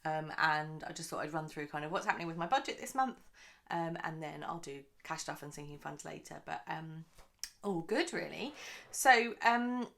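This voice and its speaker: A woman talks through her monthly budget in a loose, rambling way, full of ums and asides. Her voice sits a little low and has a gravelly, croaky edge, since she is recording early in the morning. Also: animated, moderate pace, fairly bright tone. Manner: chatty, rambling, many fillers. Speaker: budgeting channel host. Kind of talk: casual chatty monthly budget vlog.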